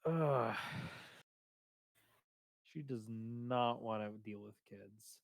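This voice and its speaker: A man with a big, booming voice talks loosely on a stream, hunting for words and restarting often. His sound is dry and close, with no room around it. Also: extremely slow, creaky, no echo. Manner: rambling, hesitant with many fillers. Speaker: streamer discussing his game character. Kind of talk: casual chat.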